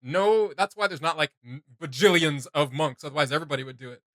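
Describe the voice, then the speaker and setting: A man's voice: fairly high pitch, quick pace, a bit bright, no room sound, clean studio sound. A man hosting a reaction video with casual, off-the-cuff commentary, blunt and sarcastic as he rambles.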